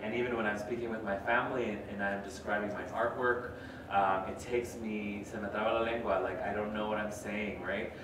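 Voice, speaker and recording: A young man whose first language is Spanish, a podcast host answering audience questions at a live event, chatty and animated with many fillers. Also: on the low side, fairly quick. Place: large gallery hall with audience. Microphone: table mic heard from far off. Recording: echoey hall, far from mic, noise.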